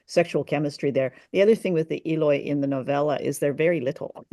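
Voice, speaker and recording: A woman's voice: low voice, fast, dark tone, no room sound, clean studio sound. A woman co-hosting a discussion show, talking casually and thoughtfully with hesitant, good-humoured phrasing. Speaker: co-host of an old-time radio discussion show.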